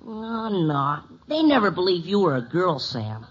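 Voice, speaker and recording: An actor's performance of a boyish, awkward, excitable schoolboy who blurts and stammers, in a 1950s radio sitcom. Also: medium pitch, a little slow. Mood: scheming. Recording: dull, faintly noisy old radio recording.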